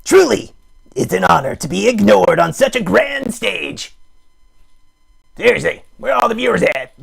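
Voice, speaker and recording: A man voicing a needy, melodramatic puppet streamer, theatrical, sarcastic and mock-grand, with a gravelly, husky voice, improvising a comic livestream. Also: sits high, expressive, normal speed, heavily acted. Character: melodramatic, needy puppet live streamer with big wry bravado. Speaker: host of a live puppet show stream.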